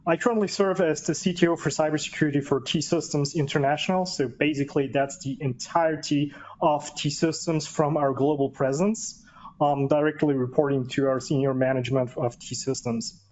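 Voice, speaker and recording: A man's voice: a little high, fairly even intonation, moderate pace, darkish tone, muffled recording, a little hiss or hum. A man speaking English as a non-native speaker, introducing himself in a chatty, self-deprecating way on a webinar panel. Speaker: cybersecurity executive and panelist. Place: based in Vienna.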